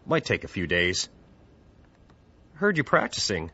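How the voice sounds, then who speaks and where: An actor playing a matter-of-fact building superintendent in an audio drama, with clipped, folksy, casual phrasing. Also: male voice, low voice, very animated, unhurried, bright, forceful tone, husky, full character voice. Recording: dull lo-fi audio.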